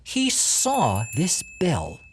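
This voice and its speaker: Female storyteller for audio drama; theatrical, suspenseful, lively intonation, with clear background noise behind the voice. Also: lowish voice, very slow. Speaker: narrator. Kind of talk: dramatic scripted storytelling narration.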